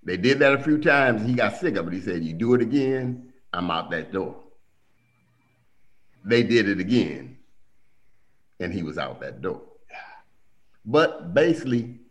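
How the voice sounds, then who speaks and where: Middle-aged guy telling stories about his dad, lowish voice, gets loud and lively when he quotes people. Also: unhurried, long pauses. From Milwaukee. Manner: animated storyteller, acts out quotes with big pitch jumps. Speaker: guest telling family stories. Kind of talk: casual storytelling conversation.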